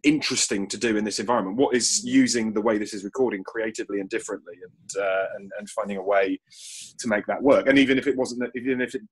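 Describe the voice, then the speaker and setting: A man's voice: on the low side, steady tone, fast talker, husky, low-quality recording. A man in casual panel chat as a writer, rambling and often restarting his phrases. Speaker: audio drama writer. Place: remote online call.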